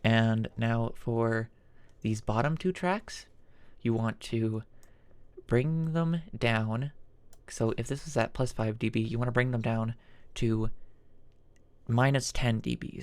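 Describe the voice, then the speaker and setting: A man with a mid-range voice hosts a casual software tutorial. He talks informally and haltingly, thinking aloud as he works through the steps. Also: mid-range voice, fairly even intonation, clean tone, no echo. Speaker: tutorial host.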